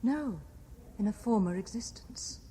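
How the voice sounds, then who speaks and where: A wistful young married Frenchwoman played by a woman speaks in a British accent with a mid-pitched, fragile, otherworldly air. She sounds haunted by another life, in the measured manner of 1970s radio drama, on a vintage recording with faint hiss. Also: animated, warm tone, close to an everyday voice. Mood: dreamy.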